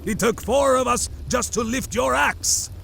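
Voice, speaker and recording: Guy doing a humble norse villager, slow n gravelly, devoted to his lord, comic audio drama, noisy mic. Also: extremely high pitch, voice pushed up, exaggerated voice. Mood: humble, reverent.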